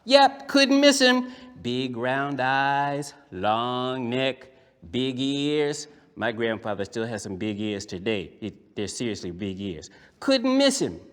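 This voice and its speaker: A man voicing a lively, cheeky young boy puppet, telling a story to children in an emphatic, conversational theatrical style with deliberate pauses. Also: medium pitch, clear, resonant tone. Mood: amazed. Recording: echoey hall, long reverb.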